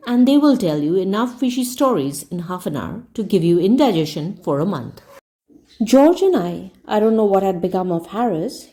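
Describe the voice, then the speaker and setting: Mellow woman reading a story aloud, lively pitch. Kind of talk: scripted audiobook narration.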